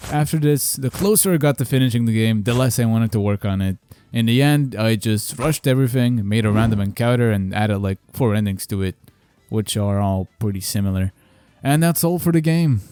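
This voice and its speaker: A man narrates a scripted video in a medium-pitched voice, with music playing underneath. His delivery is sardonic and self-deprecating, like a game developer walking through his own project. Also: mellow, no echo.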